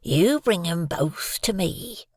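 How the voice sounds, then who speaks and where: A man voicing a hungry, threatening escaped convict, speaking in slow, growling, half-whispered threats. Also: high voice, expressive, a little mellow, no echo, studio quality, pitched above the performer's own voice, exaggerated voice. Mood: menacing. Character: menacing ragged male convict, hungry and threatening.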